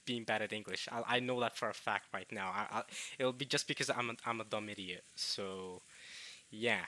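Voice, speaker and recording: A young man from Norway, chatting casually to viewers in a halting way, restarting words often with chuckling self-deprecation. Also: mid-range voice, pushed and bright, no echo. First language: Norwegian. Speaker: visual novel let's player.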